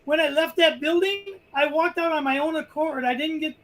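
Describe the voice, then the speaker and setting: An older man with a high voice, telling stories in a rambling, casual way as a guest on a video call. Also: normal speed, a bit bright. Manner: rambling, restarts sentences. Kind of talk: casual chat, storytelling.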